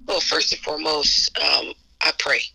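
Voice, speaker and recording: Hoarse, gravelly woman speaking earnestly. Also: deep voice, flat delivery, moderate pace, pushed and bright, slight background noise, poor audio. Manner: earnest, faith-driven, repeats phrases for emphasis. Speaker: assistant basketball coach. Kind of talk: casual interview answers.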